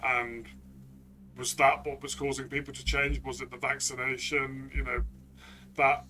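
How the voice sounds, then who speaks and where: Very bright, even-toned man reviewing a game. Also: medium pitch, measured pace. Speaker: player and reviewer. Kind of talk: conversational review discussion.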